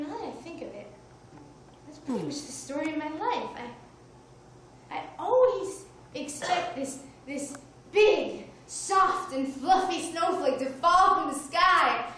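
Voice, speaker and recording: A woman from Mexico delivers a wry, self-mocking stage monologue in a fairly high voice. She is caught from far off by a room mic, with heavy echo and clear noise around her, like a live theater recording. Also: a bit bright. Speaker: solo stage performer. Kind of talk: one-woman comedy show monologue.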